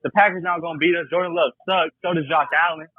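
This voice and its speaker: A tinny phone voicemail carries a man's high, husky voice. He is a sports fan calling a show, blunt and slangy, boasting emphatically and repeating his claims. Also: fairly even intonation, quick pace, no room sound.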